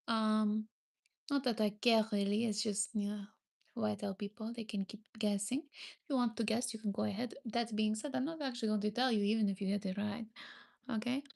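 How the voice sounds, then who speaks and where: A woman's voice: a little high, gravelly, a little mellow. A young woman chatting casually on a live stream, reading viewer comments and thanking them often, with frequent hesitations. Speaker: livestreamer.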